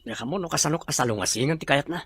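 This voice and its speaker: A man playing a wealthy young father in an Ilocano drama, speaking gently and persuasively to his family. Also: fairly high pitch, rapid-fire, husky. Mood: earnest. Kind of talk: Ilocano radio-style drama acting.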